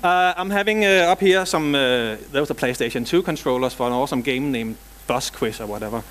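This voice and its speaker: A man's voice: mid-range voice, a little fast, fairly bright tone, slight background noise. A middle-aged man from Denmark with a Danish accent, giving a technical conference talk in a large hall with dry jokes and casual asides. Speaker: conference speaker.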